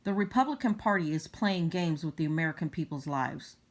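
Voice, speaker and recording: A woman vlogger giving unscripted political commentary in a halting, emphatic way. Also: sits low, animated.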